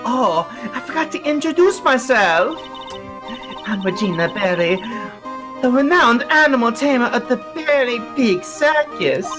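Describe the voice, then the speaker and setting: A woman's voice: a little high, lively intonation, a little slow, music in the background. A woman voicing a cheerful, teasing young female animal tamer in a game, reading the lines in a bubbly way. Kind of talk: voicing game dialogue on a live stream.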